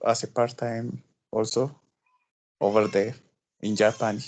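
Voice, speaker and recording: A man's voice: lowish voice, level tone, a little slow, darkish tone. A man from Ethiopia, hesitantly and politely asking a question on a video call. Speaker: webinar participant.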